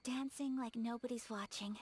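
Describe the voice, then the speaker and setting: A woman playing a gentle, graceful young noblewoman in a video game, speaking softly, politely and sincerely. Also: fairly high pitch, steady tone, moderate pace, slightly rough. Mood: wistful, soft. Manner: soft-spoken, polite, sincere. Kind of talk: video game character acting.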